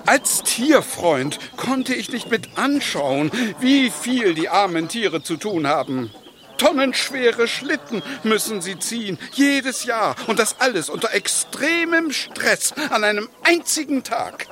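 An actor playing an eccentric, indignant animal-loving doctor in a children's radio play, theatrical and self-righteous. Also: male voice, extremely high pitch, very animated, slow, bright, forceful tone, heavily acted. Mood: self-righteous, pleading.

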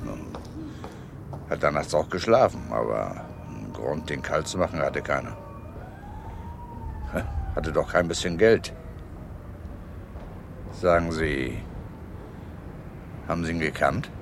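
An actor playing a gruff, laconic local man in a German radio play. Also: very deep voice, takes it slowly, long pauses, exaggerated voice. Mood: laconic, curious. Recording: noisy street ambience with traffic.

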